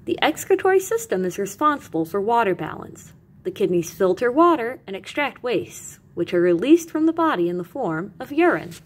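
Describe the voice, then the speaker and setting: A woman reading educational material aloud as a teacher, with animated emphasis and carefully separated lists. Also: medium pitch, moderate pace. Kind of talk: expressive educational read-aloud.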